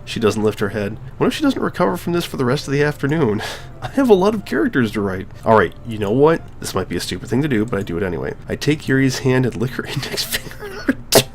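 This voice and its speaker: A man reading a visual novel game aloud in an expressive, wry manner, dropping in joking asides as he plays. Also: mid-range voice, fast talker. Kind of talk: narrated playthrough with joking asides.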